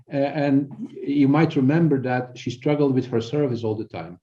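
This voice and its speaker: Middle-aged man, Belgian accent, soft mellow tone, halting explanations, repeated words, dull lo-fi recording. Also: mid-range voice. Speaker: guest, performance coach. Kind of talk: explanatory interview talk. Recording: dull, band-limited audio.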